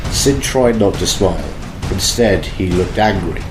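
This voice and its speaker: A man narrating an audio drama in a steady reading voice. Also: deep voice, unhurried, roomy sound. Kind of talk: read-aloud story narration. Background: music.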